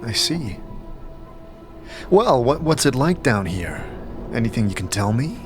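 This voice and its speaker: A man's voice: medium pitch, very animated, measured pace, over music. A man playing a scarred, reserved but sympathetic wanderer who asks brief questions in a fantasy audio drama. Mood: concerned.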